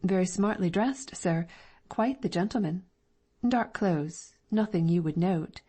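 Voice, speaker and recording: A woman's voice: on the low side, measured pace, warm tone, breathy edge. A woman voicing a deferential Victorian landlady in an audiobook, answering politely. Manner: polite and deferential, calling him sir. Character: anxious Victorian London landlady, middle-aged. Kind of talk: audiobook dramatic reading.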